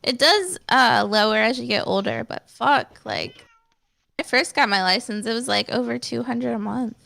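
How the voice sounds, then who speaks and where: A woman's voice: on the high side, very animated, normal speed, creaky, pushed and bright. A young woman chatting casually and swearing freely in conversation. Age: young adult. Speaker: podcast guest.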